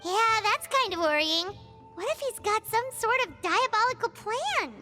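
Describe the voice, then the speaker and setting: Squeaky, very high childlike companion creature. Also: female voice, animated, moderate pace. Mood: curious, concerned. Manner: chirpy, inquisitive. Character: tiny cheerful childlike floating companion creature. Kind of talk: animated video game cutscene acting. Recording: loud music under the voice.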